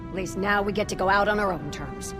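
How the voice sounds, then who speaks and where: An actress playing a tough, defiant marine lieutenant with firm, cocky military talk in a cinematic game. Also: female voice, medium pitch, quick pace, music in the background, close to an everyday voice. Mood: defiant, resolute.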